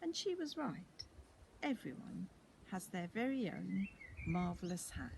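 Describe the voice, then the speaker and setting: A woman reading a picture book to children in an animated, expressive storytelling style. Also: on the low side, measured pace, a little hiss or hum. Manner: animated, clearly paced narration.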